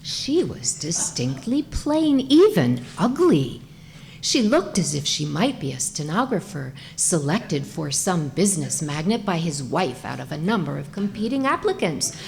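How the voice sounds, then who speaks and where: A woman's voice: lowish voice, big pitch swings, a little slow, vocal fry, darkish tone. A woman reading a comic short story aloud as its narrator, in a scripted storytelling style.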